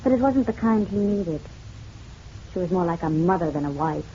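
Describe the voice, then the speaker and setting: Low, coy rich young woman. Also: expressive, moderate pace, dark tone. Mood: cool, frank. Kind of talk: old-time radio drama acting. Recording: muffled, noisy old radio recording.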